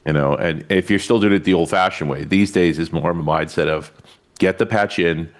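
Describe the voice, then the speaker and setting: A man's voice: sits low, a little fast. A man on a tech podcast panel, explaining in a matter-of-fact way. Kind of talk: casual chat.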